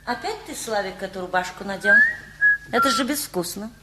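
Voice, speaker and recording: An actress playing a critical aunt in a radio play, brisk, reproving and didactic. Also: female voice, medium pitch, expressive, close to an everyday voice. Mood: disapproving. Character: fussy, critical aunt scolding her nephew. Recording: roomy, very noisy radio-play recording.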